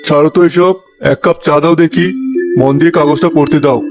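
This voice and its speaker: Guy doing kindly older cartoon father-in-law, exasperated, talks fast, loud music behind him. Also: fairly high pitch, fairly even intonation, close to an everyday voice. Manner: chiding, reasonable. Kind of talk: animated comedy story acting.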